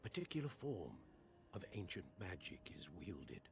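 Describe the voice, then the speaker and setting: Deep, expressive wizard mentor. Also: male voice, normal speed, gravelly, a little hiss or hum, heavily acted. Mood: grave, instructive. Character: dignified, measured older male wizard professor, mentor. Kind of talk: scripted video game cutscene acting.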